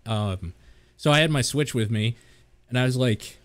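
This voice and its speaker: A man's voice: mid-range voice, normal speed, no room sound. A man co-hosting a casual podcast, laid-back and plainspoken, with short replies and a bit of swearing. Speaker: podcast co-host. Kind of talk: casual chat.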